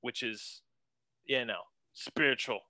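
A man's voice: medium pitch, slow pace, sharp tone, dry recording. A young man from western Pennsylvania, a guest chatting casually and enthusiastically about his work, full of slang. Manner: laid-back, slangy, enthusiastic, says man a lot. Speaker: guest, senior art director. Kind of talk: casual interview chat.

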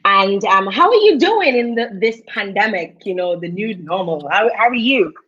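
A woman in her thirties hosting a casual online interview, effusive and fond of shout-outs, heard over a video call. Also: mid-range voice, expressive, normal speed, breathy edge. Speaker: host. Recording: slightly dull lo-fi sound.

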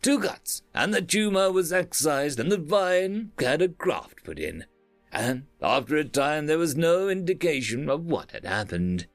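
Casting: man as formal, nervy alien highborn for space-opera storybook, raised lively pitch, emphatic delivery. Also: a little slow, dry recording, soft background music. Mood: uneasy confession. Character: alien Highborn councillor, anxious, formal, distrustful of authority.

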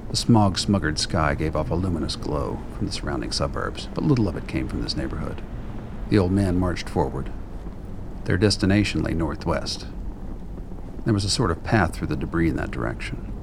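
Deep, gravelly male narrator. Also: expressive, normal speed. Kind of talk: scripted narration of a radio play. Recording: clear background ambience noise.

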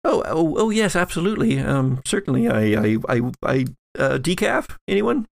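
A man's voice: on the high side, sing-song, normal speed, gravelly, no echo, pitched above the performer's own voice. A man voicing a flustered, eager-to-please woman in a tabletop game, stammering politely.

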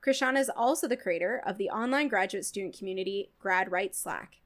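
A woman's voice: medium pitch, fairly quick. A woman hosting a podcast, introducing her guest and interviewing her in a friendly, encouraging way. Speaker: podcast host.

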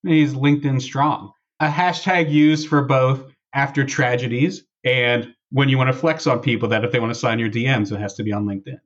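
A man with a husky voice hosting a casual podcast, chatting and reading posts aloud in a wry, sarcastic way. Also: mid-range voice, fairly even intonation, normal speed, hardly any pauses, highs cut off. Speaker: podcast host.

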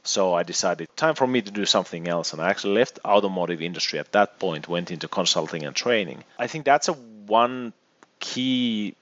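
A middle-aged man from Finland with a Finnish accent, giving thoughtful, measured answers as an interview guest. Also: low-pitched, expressive, no echo, dull audio. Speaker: guest, EV consultant and educator.

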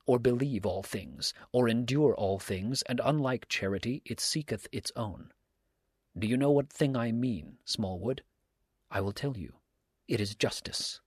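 Man voicing a stern, measured righteous countryman. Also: mid-range voice, slightly rough, dry recording, clean studio sound. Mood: solemn, deliberate. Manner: measured, formal, sermonizing. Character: stern, unhurried righteous countryman, preaching and probing. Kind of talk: audiobook character dialogue.

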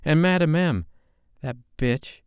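Man doing an exasperated, higher-pitched woman. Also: slow pace, smooth, no echo, clean studio sound. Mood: angry, hurt. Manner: defensive, exasperated. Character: exasperated, hurt young woman, defensive with her boyfriend.

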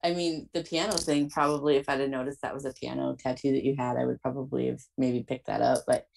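A woman's voice: very deep voice, flat delivery, fast, vocal fry, darkish tone, slight background noise, rough recording. A woman hosting a podcast in casual chat, enthusiastic and full of "like". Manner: enthusiastic, peppers talk with "like". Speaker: podcast host.

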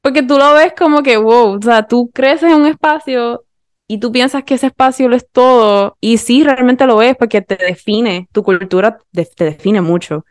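A woman with a Puerto Rican accent chatting casually as a podcast guest, expressive and slipping English phrases into her Spanish. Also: on the high side, normal speed, dry recording, clean studio sound. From Puerto Rico. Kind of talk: casual chat, telling travel anecdotes.

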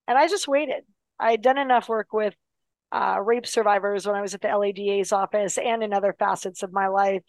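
A woman telling a long personal story in a casual, animated way, full of fillers and restarts, dropping her voice for serious parts. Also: medium pitch, no echo.

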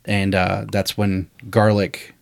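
Low-voiced man telling a rambling story. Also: takes it slowly, creaky. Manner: rambling, animated storyteller with frequent "you know" and "uh". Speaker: podcast co-host and screenwriter. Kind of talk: casual storytelling chat.

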